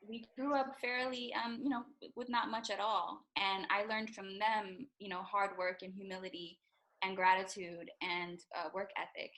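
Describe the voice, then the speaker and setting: A woman from Bangladesh, raised in New York City, talking as an actress and interview guest over a video call, animated and earnest, laughing easily. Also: medium pitch, slight background noise, rough recording.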